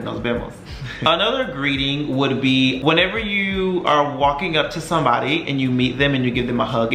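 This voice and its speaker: A man's voice: medium pitch, a bit bright, a little hiss or hum. Young man teaching phrases in an echoey room. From Texas. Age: young adult. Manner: repeats phrases slowly, drawn out, with many "right?" checks. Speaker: Spanish teacher. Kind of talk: casual video lesson. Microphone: camera mic.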